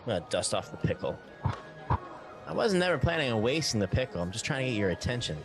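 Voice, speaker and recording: A man's voice: medium pitch, sing-song, fast talker, over music. A man playing a tabletop roleplaying game, switching casually between table chat and speaking as his character.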